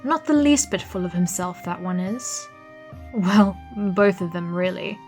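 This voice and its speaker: An actress playing a haughty, impatient immortal who toys with mortals in an audio drama, arch and imperious. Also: female voice, lowish voice, measured pace, a little mellow, faint music behind, close to an everyday voice. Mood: amused, scornful.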